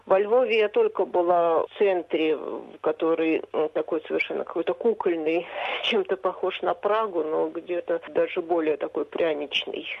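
A woman joins a radio interview over a tinny phone line. Her voice sits low with a gravelly fry, and she describes things in a reflective, unhurried way. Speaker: interview guest, literary association organizer. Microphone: telephone.

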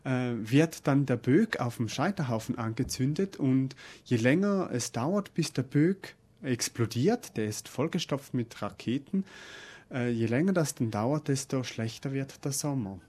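A man speaking as a guest expert, explaining things conversationally with hesitant pauses. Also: medium pitch, expressive, a little mellow, husky.

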